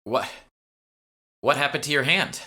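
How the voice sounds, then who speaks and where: Man reading as flustered, stammering young woman, raised pitch. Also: measured pace, sharp tone. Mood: flustered, shocked. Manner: stammering, agitated. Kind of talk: audiobook character dialogue.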